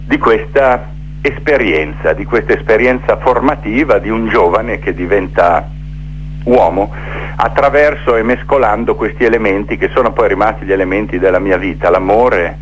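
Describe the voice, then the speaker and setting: Low-voiced middle-aged man, dull noisy line. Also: moderate pace. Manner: reflective, builds rhythmic lists. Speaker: theatre maker interviewed as guest. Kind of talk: radio interview, storytelling. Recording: dull, noisy phone-in with hum.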